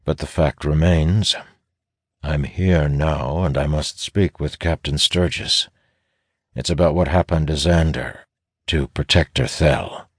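A man's voice: extremely low pitch, fairly even intonation, slow, dry recording, studio quality, heavily acted. A man voicing a stern, seasoned ranger in a fantasy audiobook, speaking firmly and tersely. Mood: calm, firm. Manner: firm, terse. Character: stern, seasoned male ranger veteran, commanding. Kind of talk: audiobook fantasy character acting.

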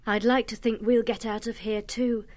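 A woman plays a young storybook animal with a slightly high voice. The creature speaks earnestly and gloomily, full of foreboding. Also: flat delivery, moderate pace, darkish tone, lightly acted. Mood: uneasy.

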